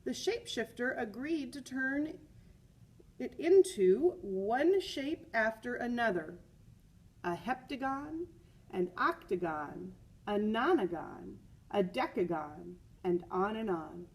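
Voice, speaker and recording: Give a woman's voice, slightly high and somewhat husky, reading a picture book to students. She is expressive and leans on key words, dropping in chatty teacher's asides between passages. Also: takes it slowly. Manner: expressive, with emphatic repeated words.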